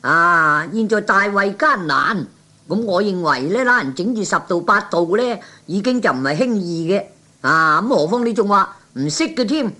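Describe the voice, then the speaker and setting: A man voicing an excitable, nosy hanger-on with a Cantonese accent in a 1960s comic radio play, chatty and full of exclamations. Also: sits high, normal speed. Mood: doubtful.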